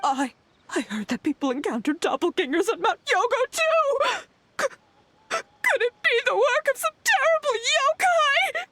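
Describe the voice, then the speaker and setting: A woman's voice: extremely high pitch, very animated, unhurried, bright, forceful tone, exaggerated voice. An actress voicing a timid, frightened young townswoman who speaks hesitantly in a video game. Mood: nervous. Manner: hesitant, stammering.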